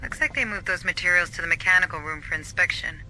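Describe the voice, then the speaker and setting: A woman's voice: lowish voice, fast, pushed and bright, faint music behind, rough recording. An actress voicing an earnest, anxious corporate official in a video game who guides a marine squad and is shaken by the deaths she finds. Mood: briefing. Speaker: station official guiding a marine squad by radio. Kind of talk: video game cutscene dialogue.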